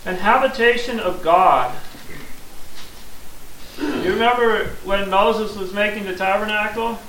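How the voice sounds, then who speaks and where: A man's voice: high voice, measured pace, a bit bright. A man, preaching a sermon in a plain-spoken, earnest way and explaining scripture step by step. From Missouri, USA. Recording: echoey room from a distance, faint hiss.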